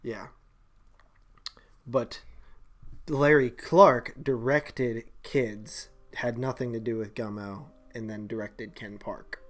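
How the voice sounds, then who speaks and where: A woman's voice: extremely low pitch, steady tone, slow. A woman co-hosting a podcast in casual, slangy banter with a teasing manner. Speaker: podcast co-host.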